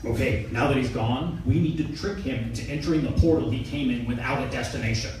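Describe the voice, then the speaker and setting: A man's voice: mid-range voice, quick pace, darkish tone, natural voice. A man playing a reckless, dim but breezily confident spaceship commander in a comic sci-fi audio drama. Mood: scheming. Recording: very echoey, distant, faintly noisy.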